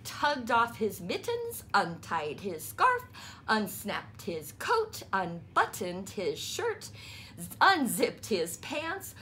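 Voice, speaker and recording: A woman reading a children's story aloud, deliberately, with playful emphasis on the sound words. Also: mid-range voice, huge pitch swings, sharp tone, a little hiss or hum. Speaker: storybook reader. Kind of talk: children's read-aloud narration.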